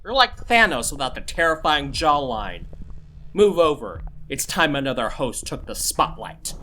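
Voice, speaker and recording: Woman acting snarky female villain, mid pitch, very animated, gleeful, mocking, horror-comedy audio play, background noise. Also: normal speed, full character voice, rough recording. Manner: sarcastic, theatrical, taunting. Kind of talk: comic horror audio drama.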